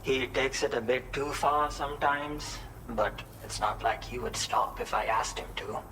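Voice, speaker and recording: A man's voice: medium pitch, unhurried, bright, forceful tone, a little hiss or hum, poor audio. An actor playing a grateful, polite young man in a video game cutscene, speaking matter-of-factly. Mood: polite, wry.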